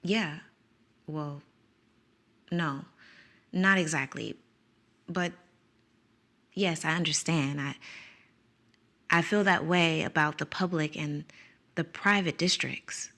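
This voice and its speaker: Woman doing an unsure teacher, low, kinda gravelly, halting. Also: fairly bright tone, exaggerated voice. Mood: hesitant. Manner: hesitant, halting. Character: conflicted woman circus teacher, hesitant.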